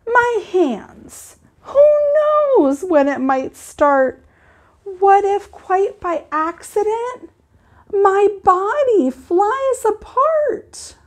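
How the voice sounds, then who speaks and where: A woman teacher reading aloud and explaining to children in an expressive, deliberate, instructive way. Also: extremely high pitch.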